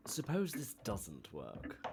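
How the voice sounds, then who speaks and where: An actor playing a brooding soldier with a halting, reflective manner in an audio drama. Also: male voice, fairly low pitch, wildly swooping pitch, full character voice. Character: brooding male soldier with patchy war memories. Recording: very noisy amateur recording.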